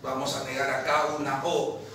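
A man's voice: medium pitch, moderate pace. A man, teaching logic at a chalkboard, firing questions at students and answering them himself. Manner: lively, asks questions and answers them himself, calls the viewer "papi". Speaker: math tutor at an admissions academy. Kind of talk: chalkboard lesson solving exam problems. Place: classroom at a chalkboard, indoors. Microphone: far camera. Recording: very echoey classroom from far off, faint noise.

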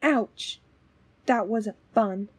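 A woman playing a plain-spoken young female companion to an investigator in an audio drama, with a husky voice. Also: medium pitch, extremely slow, very noisy. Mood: shaken, earnest.

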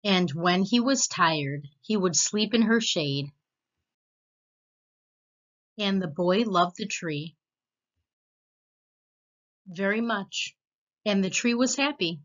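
A woman narrating a children's storybook as read-aloud host, expressive and deliberate, with slightly muffled sound. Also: mid-range voice.

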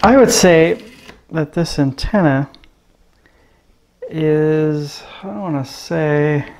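A man giving a casual, hands-on radio review in a room at his desk, talking slowly and filling pauses with ums and uhs. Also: fairly high pitch, a little mellow. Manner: unhurried, hesitant, many ums and uhs. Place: indoor room at a desk with gear. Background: radio noise and static at times.

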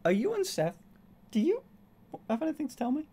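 A woman's voice: sits high, wildly swooping pitch, fairly quick, mellow, slight background noise. A young woman streaming from her bedroom, chatting casually, swearing and laughing often. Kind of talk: casual chat. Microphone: desk mic on arm.

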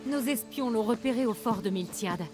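Une actrice de doublage jouant une jeune cheffe rebelle audacieuse et passionnée dans un jeu vidéo. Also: female voice, a little high, normal speed, over music, lightly acted. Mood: fervent. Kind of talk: dubbed video game acting.

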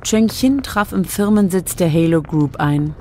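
A woman's voice: lowish voice, unhurried, warm tone, a little hiss or hum. A woman reading scripted narration for a radio drama.

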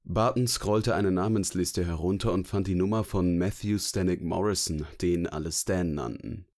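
Low deep guy narrating a novel, scripted audiobook read. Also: moderate pace, darkish tone.

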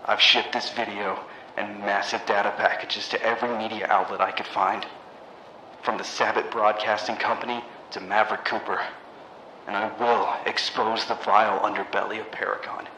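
An actor playing a defiant male scientist blowing the whistle, determined and measured. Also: on the low side, little pitch movement, sharp tone, roomy sound, a little hiss or hum, low-quality recording.

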